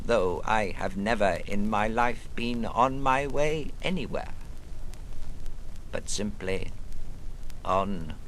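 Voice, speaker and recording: An odd brooding rambler doing a literary monologue, slowish n halting, theatrical, sharp forceful voice. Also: male voice, fairly low pitch, a little hiss or hum, poor audio. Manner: theatrical, halting, swinging from murmur to outburst. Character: rambling, brooding, eccentric male narrator recalling his past. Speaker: reader of a literary prose monologue. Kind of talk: dramatic literary monologue reading.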